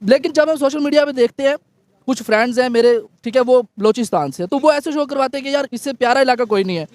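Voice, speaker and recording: Earnest high-voiced male guest. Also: quick pace, a little mellow, dry recording. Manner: earnest, repeats "theek hai".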